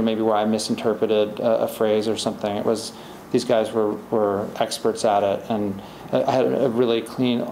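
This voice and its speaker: A man's voice: fairly low pitch, a little mellow. A middle-aged man, an author at a podium, answering audience questions in a rambling, conversational way with many restarts. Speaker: author at a library talk. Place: library event room, indoors. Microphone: podium microphone, far camera. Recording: roomy hall echo, faint hiss.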